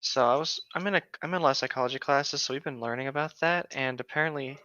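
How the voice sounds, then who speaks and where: A man's voice: medium pitch, fast, sharp tone, no room sound, lo-fi sound. A young man reading aloud and chatting casually as a co-host, informal and joking, sometimes stumbling over hard words. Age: young adult. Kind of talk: casual group read-aloud with joking asides.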